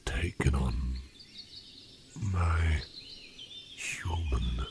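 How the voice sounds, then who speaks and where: A man voicing a courtly, curious sleep demon in human disguise, speaking formally in a breathy, half-whispered ASMR roleplay with deliberate pauses. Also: rumbling low, background noise, full character voice. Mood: soft, courteous. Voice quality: breathy, often dropping into whisper. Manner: formal, archaic, deliberate phrasing with many pauses. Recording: birdsong and forest ambience behind voice. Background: birdsong, outdoor nature sounds.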